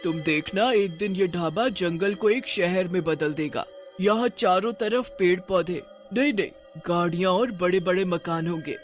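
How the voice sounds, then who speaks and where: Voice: mid-range voice, unhurried, faint music behind, lightly acted. A man voicing a vengeful witch mother who runs a food stall, in a theatrical, commanding cartoon horror style. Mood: proud. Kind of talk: Hindi animated horror story dialogue.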